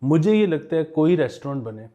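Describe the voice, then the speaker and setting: A man's voice: a little high, very animated, brisk, dark tone. A man with a Pakistani accent chatting casually as a podcast co-host, telling anecdotes in a joking way and chuckling as he talks. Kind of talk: casual humorous current affairs chat.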